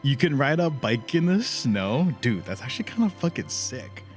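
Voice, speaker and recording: A man's voice: medium pitch, sing-song, normal speed. A man giving casual, crude and slangy live commentary while streaming a video game. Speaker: livestreamer playing a video game. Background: game music.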